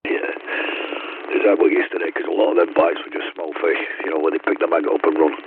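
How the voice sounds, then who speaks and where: A man's voice: extremely low pitch, level tone, fast. A middle-aged man with a Northern English accent, chatting in a matey, blunt way as a CB radio operator. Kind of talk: casual radio chat. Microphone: CB radio microphone. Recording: noisy, tinny CB radio transmission with clicks.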